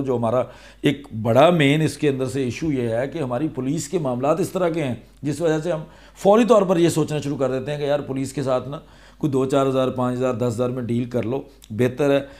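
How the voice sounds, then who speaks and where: A man's voice: mid-range voice, darkish tone. A man with a Pakistani accent speaking as a vlogger, explaining things to viewers conversationally and step by step. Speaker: vlogger giving legal awareness advice. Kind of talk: explanatory vlog talking to viewers.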